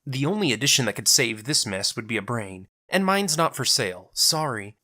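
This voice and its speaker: A man's voice: mid-range voice, lively intonation, normal speed. A man voicing a defiant, hot-tempered young woman in an audio drama, with a sarcastic manner. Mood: brazen sarcasm. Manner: sharp, sarcastic.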